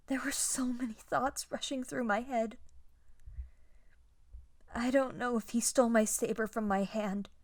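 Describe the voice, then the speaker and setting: A woman playing a wounded young Jedi survivor in an audio roleplay, gentle and haunted, with a soft, hesitant delivery. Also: sits high, no echo. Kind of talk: dramatic first-person audio roleplay.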